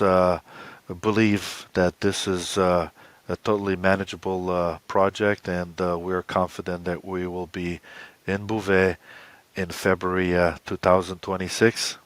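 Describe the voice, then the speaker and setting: A man with a very low voice explains things in an interview. His phrasing is halting and hesitant, and the sound is dry and close, with very little room. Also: dry recording. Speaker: expedition co-leader, interview guest.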